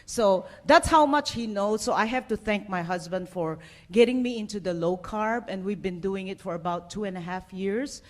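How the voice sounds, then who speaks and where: Middle-aged woman from the Philippines, physical therapist giving a conference talk, conversational with plenty of fillers, medium pitch. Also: normal speed. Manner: conversational, with frequent "uh" and "you know". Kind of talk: personal story told to a live audience.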